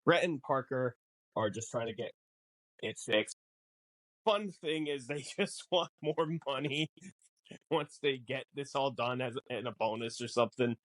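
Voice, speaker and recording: A man's voice: medium pitch, measured pace, a bit bright, no room sound. Dry-sounding man in casual podcast chat. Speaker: podcast co-host.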